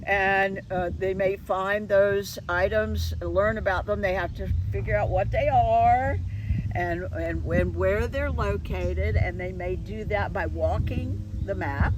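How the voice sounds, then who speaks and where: Animated woman organizer, outdoors with traffic noise. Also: medium pitch, measured pace. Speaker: event organizer. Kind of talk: unscripted interview talk promoting a community event. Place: outdoors in a downtown park.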